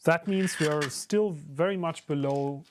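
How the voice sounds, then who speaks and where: German guy streaming a build, fairly high voice, loose and chatty, lots of ums. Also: a little slow, a little hiss or hum. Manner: relaxed and conversational, many fillers, answers chat. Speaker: streamer and YouTuber building a 3D printed enclosure. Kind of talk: casual live stream chat while building.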